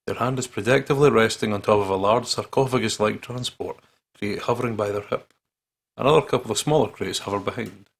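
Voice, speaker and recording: A man with a husky, gravelly voice playing a visual novel, reading the text aloud and joking in a playful way. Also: lowish voice, brisk. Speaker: let's play host reading the game aloud. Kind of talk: dramatic read-aloud with joking commentary.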